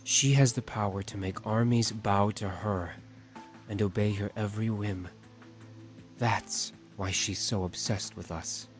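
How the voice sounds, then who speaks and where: Guy doing a gloomy quiet young man for a visual novel, low pitch, faint music under. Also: fairly even intonation, a little slow, close to an everyday voice. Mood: somber, foreboding. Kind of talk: visual novel game voice acting.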